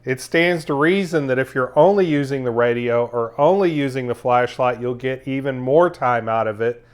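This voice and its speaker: A man narrating a product review as its host, explaining things in a measured, methodical way. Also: mid-range voice, clear, resonant tone.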